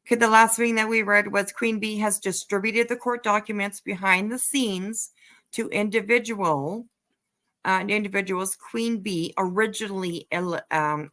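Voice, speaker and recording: Slow-paced woman livestreamer, blunt and emphatic. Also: medium pitch, a bit bright. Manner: blunt, swears casually; emphatic, repeats phrases for emphasis. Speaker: livestream host. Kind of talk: casual livestream commentary.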